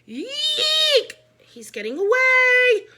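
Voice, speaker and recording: A woman voicing a terrified young schoolboy who yells in panic in a read-aloud story. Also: extremely high pitch, wildly swooping pitch, drawn-out words, very noisy, voice pushed up, full character voice. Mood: panicked. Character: panicking new schoolboy yelling in terror.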